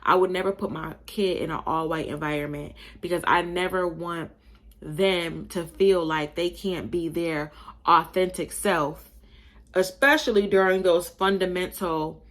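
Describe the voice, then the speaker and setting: A woman's voice: on the low side, measured pace. Young woman, slightly Southern-tinged Buffalo accent. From Buffalo, New York. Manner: animated, emphatic, chatty, addresses listeners as y'all. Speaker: podcast host. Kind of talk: casual opinion monologue.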